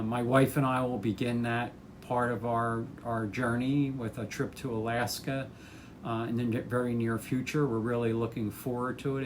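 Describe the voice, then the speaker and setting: A retired man in his sixties, a former chemist, talking casually to the camera about his plans, thoughtful and unhurried, with many ums and restarts. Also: mid-range voice, background noise. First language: English.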